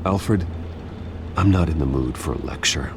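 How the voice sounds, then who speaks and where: A man playing a grim, menacing masked vigilante in a video game, terse and intimidating, with an electronically deepened voice. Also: moderate pace, background noise. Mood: grim, determined. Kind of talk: dramatic video game acting.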